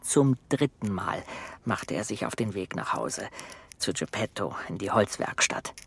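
A man narrating a children's radio play, telling the story in a measured way with dramatic emphasis. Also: on the low side, sing-song, vocal fry. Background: music.

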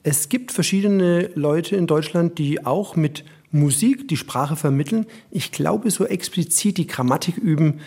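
A man's voice: fairly high pitch, unhurried, few pauses, a little mellow, breathy edge. A middle-aged man, a music and German teacher, explaining things in spoken, unscripted style.

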